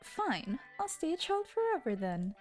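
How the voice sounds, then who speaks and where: A young girl with a sweet, teasing, high voice. She pouts and begs her brother to play. Soft music runs quietly behind her. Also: big pitch swings, unhurried. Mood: playful. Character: young girl, playful pouting little sister.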